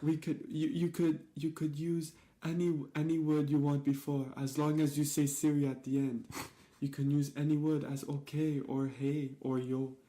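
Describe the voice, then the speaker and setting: Mellow-voiced man co-hosting a podcast. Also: on the high side, level tone, moderate pace, hardly any pauses. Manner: easygoing, jokey. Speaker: podcast co-host. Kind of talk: casual chat.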